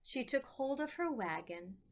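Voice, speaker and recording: Slow-paced woman reading a children's story. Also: sits high, very animated. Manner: deliberate, pausing between short phrases. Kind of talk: slow, expressive picture-book read-aloud.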